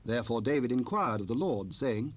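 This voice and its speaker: A man narrating a dramatized scripture recording in a formal, measured storytelling manner. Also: medium pitch, lively intonation, natural voice.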